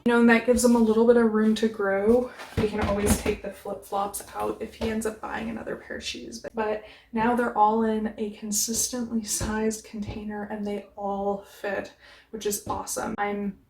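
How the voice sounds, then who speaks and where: A young woman vlogging casually about organizing shoes, upbeat and chatty with a booming voice, recorded on a camera mic in a small bedroom. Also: level tone, moderate pace, creaky, a little mellow, soft background music. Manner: chatty, upbeat, says she has a booming voice. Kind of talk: casual how-to vlog. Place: small bedroom with shoe bins. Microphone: camera mic, close then from across the room. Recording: echoey room.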